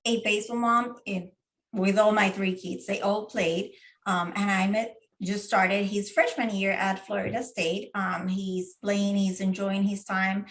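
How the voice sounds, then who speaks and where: A middle-aged woman speaks as an interview guest. Her voice sits at an ordinary middle pitch, and she talks earnestly, often pausing on fillers and restarting her phrases. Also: flat delivery, fairly bright tone, low-quality recording. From Puerto Rico. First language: Spanish. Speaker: guest, diabetes advocate and parent. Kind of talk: casual interview.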